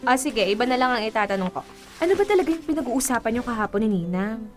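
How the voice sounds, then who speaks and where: High-voiced, curious Filipino little sister. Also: female voice, sing-song, fast talker, soft background music. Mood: insistent. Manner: inquisitive, pleading, pouty. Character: curious, persistent younger sister, respectful but pouty. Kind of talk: Filipino radio drama acting.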